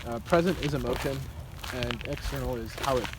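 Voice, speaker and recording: A man's voice: medium pitch, brisk. A young man in casual philosophical chat on a walk, theorizing in abstract terms. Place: outdoors on a walk. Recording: loud outdoor noise.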